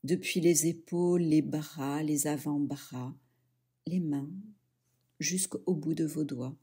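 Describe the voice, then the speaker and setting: A woman leading a guided yoga relaxation, speaking softly and soothingly in short phrases with pauses. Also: low voice, steady tone, breathy edge. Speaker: yoga nidra instructor.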